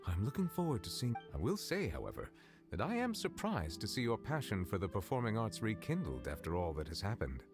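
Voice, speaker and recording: An actor playing a formal, courteous official of high rank in a video game, speaking in a measured, polite and reserved way. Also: male voice, huge pitch swings. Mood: gently curious. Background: music.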